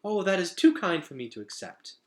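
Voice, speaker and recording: A man's voice: sits high, big pitch swings, brisk, pitched above the performer's own voice. A man voicing a shy young woman, a nanny who speaks in a formal, timid, earnest way. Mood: embarrassed.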